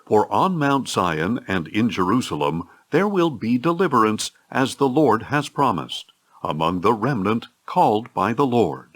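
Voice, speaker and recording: A man reading scripture as a solemn audio Bible narrator, with a measured, declamatory delivery. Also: lowish voice, expressive.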